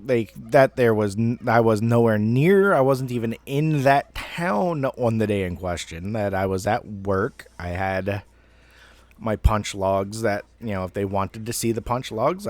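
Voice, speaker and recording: A man in his thirties telling a personal story casually, in a rambling, halting way with some swearing. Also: on the low side, a little mellow, no echo. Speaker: amateur storyteller.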